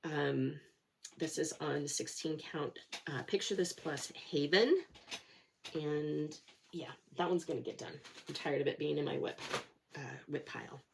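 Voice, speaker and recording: A woman's voice: deep voice, a little slow, gravelly, noisy background. A middle-aged woman chatting casually about her cross-stitch projects, a little nasal from a cold, rambling and self-deprecating with plenty of fillers. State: nasal from a cold, sore throat. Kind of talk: casual chat to camera.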